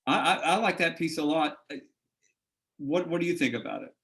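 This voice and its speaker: A man with a slightly higher voice leads a casual interview with eager interest. He often repeats and stumbles over his opening words before landing his question. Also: animated, a little fast, creaky, a bit bright. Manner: enthusiastic, stammering repetitions. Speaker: interviewer host.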